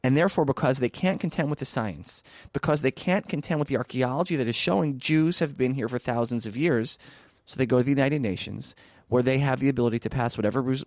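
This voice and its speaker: A man speaking as an interview guest, arguing persuasively and emphatically, with rhetorical questions and deliberate pauses for stress. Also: mid-range voice, darkish tone, dry recording, clean studio sound. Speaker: interview guest, archaeology advocate. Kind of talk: persuasive spoken argument in a radio interview.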